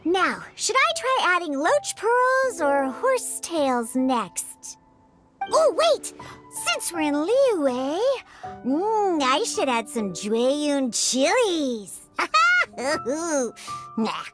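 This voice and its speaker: A small girl from a fantasy game, with an extremely high, childlike voice that swings wildly in pitch. Her delivery is unhurried and cartoonish, with music clearly playing behind it. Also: big pitch swings, heavily acted. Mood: musing. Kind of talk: animated game dialogue.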